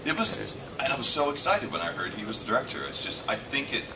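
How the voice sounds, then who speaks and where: A man's voice: mid-range voice, sing-song, fast. A man, a voice actor, answering fan questions at a convention panel in a casual, joking way. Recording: distant fan-shot recording with noise.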